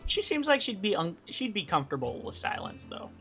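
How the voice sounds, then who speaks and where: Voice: medium pitch, big pitch swings, a little fast, vocal fry. A young adult from America chatting casually and bluntly with friends on a game stream, often swearing. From United States. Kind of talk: casual chat, argumentative. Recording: music behind the voice.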